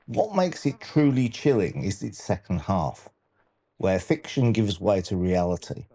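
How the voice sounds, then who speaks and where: Low, mellow male documentary narrator. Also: expressive, a little slow, slightly rough. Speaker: narrator and channel host. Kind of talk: scripted documentary countdown narration.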